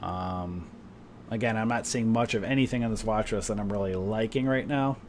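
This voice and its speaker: A man talking casually through stock charts for his viewers, rambling with lots of fillers. His voice feels a little off today, and he coughs and clears his throat now and then. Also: on the low side, normal speed, a little hiss or hum. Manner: rambling, chatty, many fillers like "you know" and "right". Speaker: stock trading streamer. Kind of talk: casual market commentary to viewers.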